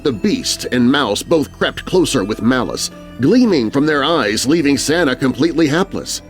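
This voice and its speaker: A male narrator tells a spooky children's tale in rhyme. His delivery is theatrical and very animated, with music underneath. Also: medium pitch, moderate pace. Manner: theatrical, rhyming storyteller delivery. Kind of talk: dramatic children's horror story narration.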